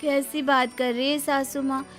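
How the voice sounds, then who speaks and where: A woman's voice: sits high, level tone, a little slow. A woman playing a simple, dutiful daughter-in-law in a comic family story, earnest and deferential. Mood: earnest protest. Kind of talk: Hindi animated family comedy story. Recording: music behind the voice.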